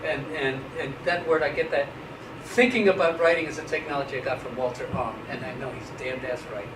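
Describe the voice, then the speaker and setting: A man's voice: mid-range voice, a little fast. A man in his fifties being interviewed about writing, talking frankly and wryly with loose, casual language and frequent restarts. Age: 50s. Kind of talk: relaxed academic interview. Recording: echoey room, mic at a distance, noisy.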